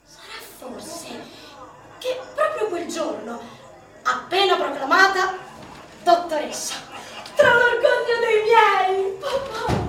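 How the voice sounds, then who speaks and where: A young actress plays a jobless, overqualified young woman in a radio drama monologue, moving from brisk reciting to hushed, ironic storytelling. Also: extremely high pitch, animated, exaggerated voice. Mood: wistful. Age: young adult. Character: young jobless overqualified female graduate, wry dreamer. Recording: roomy echo, distant mic, music underneath.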